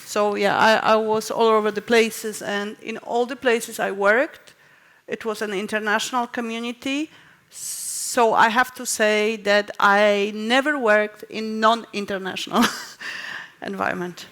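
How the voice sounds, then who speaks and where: A woman's voice: mid-range voice, steady tone, a little slow, fairly bright tone. A woman from Poland, a company founder speaking on a conference panel in a friendly, direct way. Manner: friendly, direct, light fillers. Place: conference stage.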